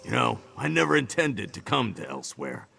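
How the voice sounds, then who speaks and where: A gravelly, wry orc mercenary. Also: male voice, mid-range voice, sing-song, fairly quick, sharp tone, soft background music, full character voice. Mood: gruff. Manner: rough, wry and offhand. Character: gruff male orc mercenary, blunt, joking. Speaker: game character. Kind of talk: video game voice acting.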